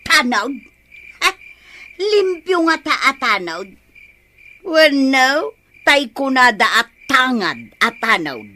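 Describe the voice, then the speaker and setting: A woman playing a nagging, suspicious village wife with an Ilocano accent in a radio comedy drama, scolding and sharp-tongued. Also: high-pitched, very animated, takes it slowly, long pauses, slight background noise, full character voice.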